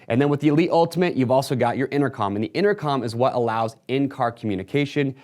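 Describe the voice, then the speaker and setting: A man's voice: mid-range voice, fast talker, a little mellow. A man presenting a retail product walkthrough in a casual, explanatory sales manner.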